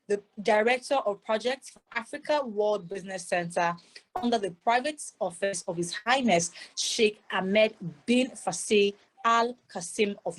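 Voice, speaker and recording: A woman's voice: mid-range voice, rough recording. A woman moderating an online conference, effusive as she sums up speakers and introduces the next one. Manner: effusive, enthusiastic. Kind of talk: conference hosting.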